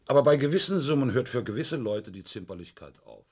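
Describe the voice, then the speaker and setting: A man playing a probing, middle-aged police inspector in a German radio crime play, dry and measured in his questioning. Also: mid-range voice, animated, darkish tone, husky.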